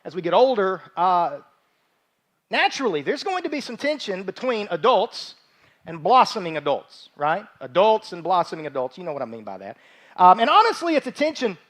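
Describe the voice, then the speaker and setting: This is a man in his forties with a notably high-pitched voice, preaching to his church. He teaches in an informal, conversational way, with a folksy manner. He often repeats a phrase to drive it home. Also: fairly bright tone. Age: 40s. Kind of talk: conversational sermon with joking asides. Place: church service, speaking live from a stage.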